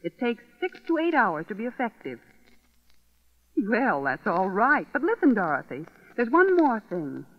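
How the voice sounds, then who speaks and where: An actress playing a cheerful, reassuring housewife chatting with a friend, in the style of a vintage radio commercial. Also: female voice, a little high, huge pitch swings, unhurried, full character voice. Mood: chatty, reassuring. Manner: bubbly, emphatic, reassuring. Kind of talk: 1940s radio commercial acting. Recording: dull vintage radio recording.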